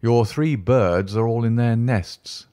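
A man voicing a calm, incisive male detective who speaks in a measured, commanding way. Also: mid-range voice, no room sound, studio quality, lightly acted.

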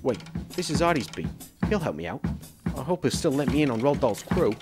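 Animated, wheedling small-time crook played by a man. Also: medium pitch, a little fast, loud music behind the voice, natural voice. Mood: panicked. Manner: whiny, wheedling, colloquial. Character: hapless, scheming male ex-cop, pleading small-time crook. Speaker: actor. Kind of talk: noir audio drama acting.

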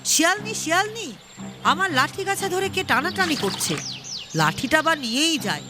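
Female reader as male fox, storybook, sly, coaxing, mock-innocent, animated pitch, music behind. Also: moderate pace. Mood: feigned alarm. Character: sly, clever male fox posing as a teacher. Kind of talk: children's storybook reading.

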